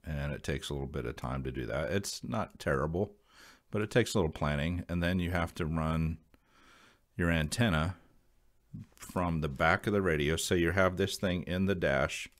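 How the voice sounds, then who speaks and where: American man, extremely low voice, casual hobbyist explainer, rambling, folksy, plain-spoken. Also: normal speed. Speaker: video creator sharing radio hobby advice. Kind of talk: casual unscripted explainer to viewers.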